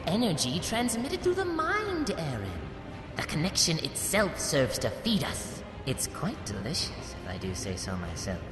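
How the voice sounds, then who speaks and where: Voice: medium pitch, wildly swooping pitch, measured pace. Bored smug alien entity, super swoopy and mocking, big echoey space, music under it. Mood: smug amusement. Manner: mocking, nonchalant, theatrical. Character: amused, condescending, detached alien being feeding on mental energy. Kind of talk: animated sci-fi parody voice acting. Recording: long echoing reverb with music behind.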